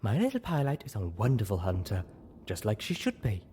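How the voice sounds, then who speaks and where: Man doing a genteel pony mare, sweet, doting, singsong, slightly raised pitch, audiobook, faint noise. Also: huge pitch swings, brisk, dark tone.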